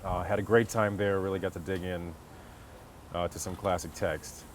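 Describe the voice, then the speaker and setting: Low-pitched American man over outdoor noise. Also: little pitch movement, normal speed. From Connecticut, United States. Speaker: interview guest, stage actor. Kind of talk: casual interview storytelling. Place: outdoors on a golf course. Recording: clear outdoor background noise, vehicles.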